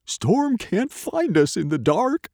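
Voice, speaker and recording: An excitable, bossy farm dog from a children's storybook, acted out by a narrator. The voice jumps up high and loud, barking out each phrase with emphasis and total confidence. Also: slow, no echo, clean studio sound. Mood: confident.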